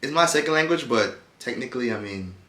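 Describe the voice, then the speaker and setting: A young man from Ghana whose first language is Twi, co-hosting a casual couple's chat in a relaxed, slangy way. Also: mid-range voice, fast, a crowd in the background. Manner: laid-back, slangy, laughs often. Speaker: co-host. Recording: echoey room.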